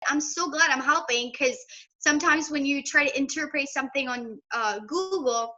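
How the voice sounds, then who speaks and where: A woman's voice: sits high, normal speed, pushed and bright, highs cut off. A young woman from Ethiopia, an Amharic speaker, chatting earnestly as an interview guest. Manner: open and earnest, light laughs. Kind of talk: casual interview chat.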